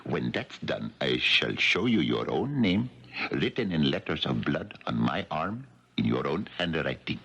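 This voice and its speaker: Very deep-voiced theatrical mystic guru. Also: male voice, sing-song, unhurried, gravelly, a bit bright, slightly rough, heavily acted. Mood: ceremonious. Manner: formal, ceremonious, hesitant showman patter. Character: theatrical male psychic guru, polite, mysterious showman. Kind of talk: 1970s radio drama acting. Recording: dull, hissy 1970s radio recording.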